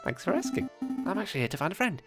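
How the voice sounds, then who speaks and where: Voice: medium pitch, big pitch swings, very fast, fairly bright tone, pitched above the performer's own voice. A game player voicing a small, earnest young male sparrow detective in a polite, hesitant way, with faint music behind. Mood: friendly.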